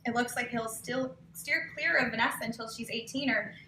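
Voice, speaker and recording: A woman performs as a sardonic younger female producer in a table read. Her dry delivery has a slightly high pitch and comes from a noisy, echoing room. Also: moderate pace, lightly acted. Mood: dry, needling. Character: efficient, dryly cynical younger female producer. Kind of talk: live table read of a novel. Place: cramped bookstore event, live. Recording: echoey live bookshop, clearly noisy.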